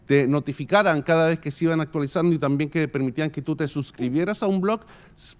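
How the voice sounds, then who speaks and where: A Chilean man giving a semi-improvised conference talk in a halting, thoughtful way, often pausing mid-phrase. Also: on the high side, a little mellow, clean tone. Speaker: podcast company founder giving a presentation.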